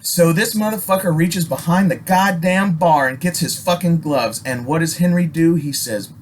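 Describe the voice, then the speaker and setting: A man's voice: on the high side, normal speed, a little hiss or hum. An American man telling a personal story casually, rambling and emphatic, swearing heavily with abrupt stops and repeats. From Alaska, United States.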